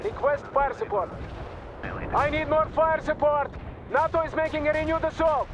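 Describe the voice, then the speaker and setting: An extremely high-pitched male voice cuts through loud battle noise: a fanatical, hot-headed Soviet captain in a war game. He has a Russian accent and reports with forceful, defiant urgency. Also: normal speed, dull audio. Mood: urgent, combative. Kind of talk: scripted video game military radio dialogue. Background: artillery fire, gunfire and vehicles.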